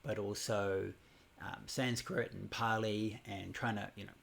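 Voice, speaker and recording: A man from New Zealand in his forties, teaching a Buddhism class over a casual livestream in a relaxed, rambling way with many fillers and restarts. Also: on the low side, a little slow, creaky. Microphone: computer or phone livestream.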